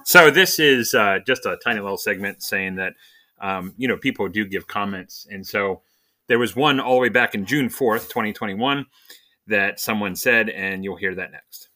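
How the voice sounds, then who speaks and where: A man's voice: lowish voice, normal speed, bright, forceful tone. A man hosting a podcast, giving an informal, conversational Bible lesson, explaining and asking questions as he goes. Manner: conversational, explanatory, asks questions and answers them.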